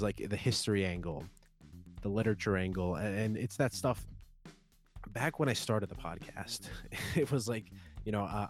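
A young man in his twenties hosting his own podcast alone, rambling casually with lots of fillers, restarts and little chuckles. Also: fairly low pitch. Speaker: podcast host. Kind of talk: solo casual podcast talk.

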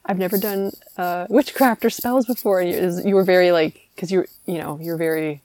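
A woman's voice: lowish voice, fairly even intonation, fairly quick, darkish tone, no echo. A young woman hosting a podcast, chatting casually and laughing often. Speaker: podcast host. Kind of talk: casual chat.

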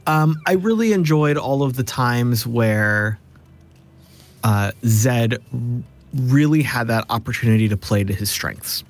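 A man's voice: medium pitch, expressive, a little slow. A man in a cast discussion, reflecting and explaining as he builds his points with repeated phrasing. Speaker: cast member of an actual play series.

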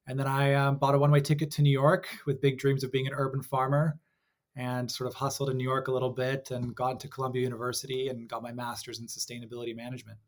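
A young adult man with an American accent, a guest in a conversational interview, speaking in an articulate, measured way. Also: medium pitch, completely flat delivery. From Hong Kong.

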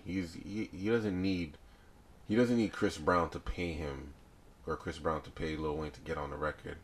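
A man in his late forties with a deep voice, hosting a casual podcast chat in bursts of loud, animated talk. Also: moderate pace, slight background noise. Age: 40s. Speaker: podcast host.

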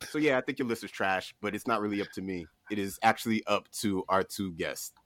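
A man's voice: fairly low pitch, fairly quick, a bit bright, no room sound. A man hosting a podcast in casual comic debate, arguing emphatically with mock disbelief. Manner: argumentative, mock-incredulous, emphatic. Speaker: podcast host.

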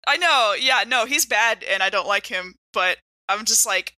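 A woman playing a tabletop game, chatting casually in an animated, giggly manner. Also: medium pitch, moderate pace, no room sound.

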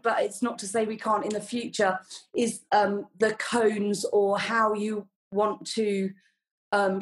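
A middle-aged woman talks easily as an interview guest, warm and rambling, with many ums and soft laughs. Her voice sits at an everyday middle pitch. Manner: chatty and warm, with frequent ums and light laughs. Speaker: podcast guest, flower farmer. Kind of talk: casual interview chat.

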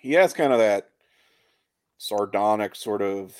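A man's voice: on the low side, lively intonation, unhurried, clear, resonant tone, no room sound. A man co-hosting a podcast, casually analyzing a TV show in a reflective, colloquial way. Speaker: podcast co-host.

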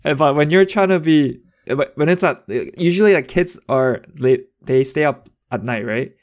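A man's voice: fairly high pitch, normal speed. A young man chatting casually as a podcast co-host, rambling with lots of fillers and restarts. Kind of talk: casual chat.

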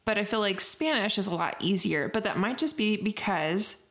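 A woman's voice: mid-range voice, animated, normal speed, a bit bright. A young woman in casual chat, talking in a teasing, chatty way and laughing as she talks.

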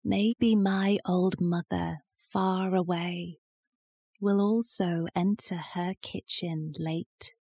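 Very slow, mellow woman reading poetry. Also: lowish voice, steady tone, creaky, slightly rough, no room sound. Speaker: poetry reader. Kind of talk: slow, measured poetry recitation.

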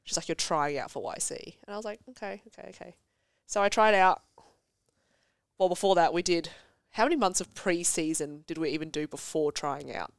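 A young woman with a congested cold, chatting as a podcast guest, self-deprecating and quick to tell stories. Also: fairly low pitch, gravelly, smooth, no room sound, studio quality. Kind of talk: casual chat. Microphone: studio podcast mic.

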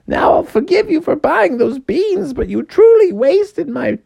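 A man's voice: very high voice, sing-song, a little slow, vocal fry, darkish tone, no echo, voice pushed up, exaggerated voice. A man voicing a weary mother frog in a storybook tale, scolding in an exasperated way. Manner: exasperated, groaning complaint.

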